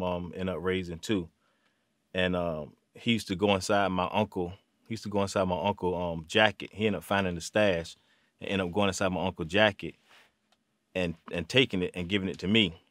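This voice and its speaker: Low, halting man. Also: no room sound, studio quality. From Virginia, USA. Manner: halting, many "um"s and restarts. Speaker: interview guest. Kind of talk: casual interview. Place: small studio room.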